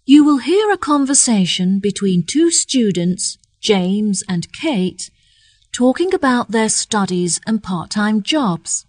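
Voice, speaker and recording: A woman reading scripted exam instructions in a measured, formal, carefully enunciated manner. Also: mid-range voice, expressive, a little mellow, no room sound. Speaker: exam announcer.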